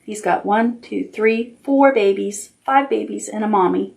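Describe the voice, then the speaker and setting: A woman's voice: medium pitch, measured pace, creaky, noisy background. A woman hosting a toddler storytime as a children's librarian, reading aloud expressively in simple child-directed language with little asides.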